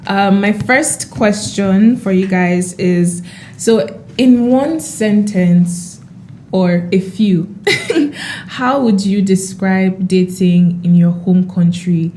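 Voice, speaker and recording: A young woman hosting a casual podcast chat, bubbly and quick to laugh. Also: mid-range voice, dark tone, a little hiss or hum. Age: young adult. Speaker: podcast host.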